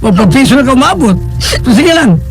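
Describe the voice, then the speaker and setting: An actor playing an elderly, fretful but fond grandfather in a Filipino radio drama, halting and folksy. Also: very high-pitched, big pitch swings, full character voice, low-quality recording. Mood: reassuring. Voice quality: creaky. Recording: loud music bed over radio drama.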